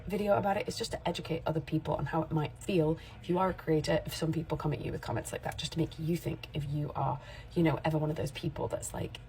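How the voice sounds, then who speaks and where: A woman's voice: deep voice, darkish tone. A woman in her forties, a makeup artist talking candidly to camera in a rambling, self-correcting way. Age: 40s. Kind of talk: casual chatty vlog.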